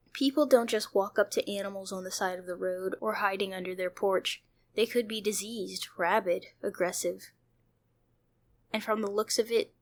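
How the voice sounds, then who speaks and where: A woman hosting a playthrough, reading visual novel narration aloud and adding her own commentary. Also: medium pitch. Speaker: host of a video game playthrough channel. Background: background music.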